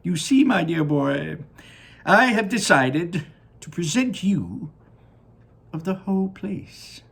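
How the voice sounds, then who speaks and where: A man acts out an old, eccentric chocolate maker from a children's book. The voice is pitched up and gravelly, swooping with excitement. He is earnest and theatrical, leaning hard on his words. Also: full character voice. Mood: fond, solemn. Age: older. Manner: emphatic, drawn-out delivery.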